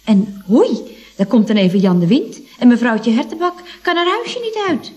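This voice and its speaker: A woman's voice: on the high side, big pitch swings, normal speed, darkish tone. A woman acting a chatty, breezily teasing secretary at a building firm in a radio comedy, with a gushing, mock-cosy manner. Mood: breezy teasing.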